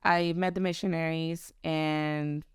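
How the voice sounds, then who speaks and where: A woman from Mexico with a Mexican Spanish accent and Spanish as her first language, a podcast guest telling her story in casual chat with quick asides and frequent laughs. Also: sits low, little pitch movement, smooth, no room sound, studio quality.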